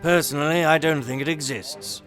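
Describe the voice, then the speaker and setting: A man playing a genial, self-assured gentleman under suspicion in a period mystery audio play, polite and confident in his answers. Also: a little high, fairly even intonation, normal speed, faint music behind, natural voice. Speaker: actor in audio drama.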